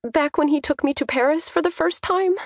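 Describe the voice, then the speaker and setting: A woman voicing a distressed daughter in an audiobook, pleading and halting as she tries to keep control. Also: dry recording, studio quality. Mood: shaky, reassuring. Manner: pleading, halting, high and strained. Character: tearful young woman, shaken daughter pleading for calm.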